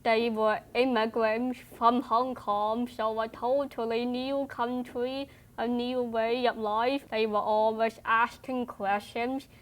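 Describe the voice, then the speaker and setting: Young female interviewee, personal storytelling, very even and unhurried. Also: a little high.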